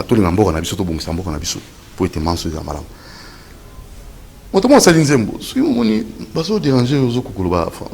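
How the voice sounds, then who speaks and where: A man hosting a casual chat show, talking quickly and often cutting in over his guest. His voice sits at a middling pitch. There is a faint hiss of background noise. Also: vocal fry, a little mellow. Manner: quick, talks over the guest and corrects him. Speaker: host. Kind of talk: casual studio chat in Lingala and French.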